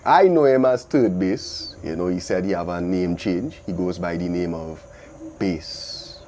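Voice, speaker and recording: A man's voice: low-pitched, a little slow, darkish tone, slight background noise. A man with a Trinidadian accent hosting a casual interview, speaking in informal slang and repeating short affirmations. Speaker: talk show host and interviewer.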